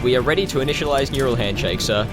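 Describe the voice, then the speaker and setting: A man playing an anxious control-room officer, briskly reporting technical readings in a sci-fi audio drama. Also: medium pitch, music in the background, close to an everyday voice. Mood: tense.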